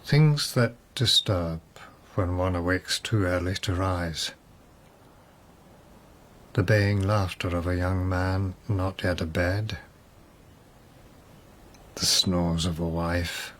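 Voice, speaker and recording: Mad condemned lord, very low voice, unhurried, wry, rambling, reflective radio monologue. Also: male voice, fairly even intonation, a bit bright, a little hiss or hum. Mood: wry, resigned. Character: mad, doomed nobleman musing on his death.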